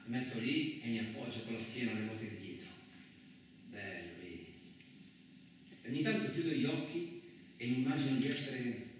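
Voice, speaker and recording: An actor playing a coarse, suspicious, lonely truck driver in a radio theatre monologue, rambling colloquially with frequent questioning interjections. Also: male voice, medium pitch, lightly acted. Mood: wistful. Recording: very echoey, distant, noisy room recording.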